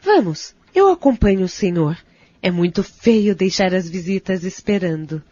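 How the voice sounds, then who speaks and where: An actress playing a polite, gently bossy care-home attendant in an audio soap, with a slightly husky voice. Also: female voice, medium pitch, lively intonation, normal speed, natural voice. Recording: dull, close dry audio.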